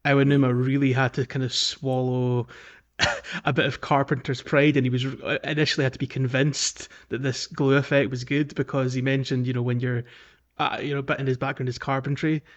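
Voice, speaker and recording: A man co-hosting a casual podcast chat about video games, talking in a hesitant, halting way. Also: mid-range voice, level tone, highs cut off. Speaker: podcast co-host.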